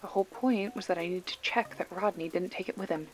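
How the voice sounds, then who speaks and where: A woman's voice: on the low side, fast talker, soft background music, lightly acted. A woman playing a sarcastic, foul-mouthed young video store clerk with a protective streak, talking casually and wryly in a one-sided phone call for an ASMR roleplay. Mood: wry, bantering. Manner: wry, snarky, casual with swearing.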